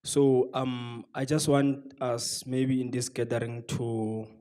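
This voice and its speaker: A man from the audience, addressing the gathering in a measured, calm way. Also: mid-range voice. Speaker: audience member.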